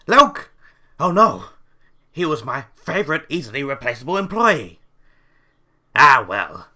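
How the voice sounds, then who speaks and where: An excitable mad scientist, with an extremely bright, sharp, forceful voice at a medium pitch. He is theatrical and melodramatic, a flippant, callous boss from a comic audio drama. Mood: melodramatic dismay. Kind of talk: comedic audio drama acting.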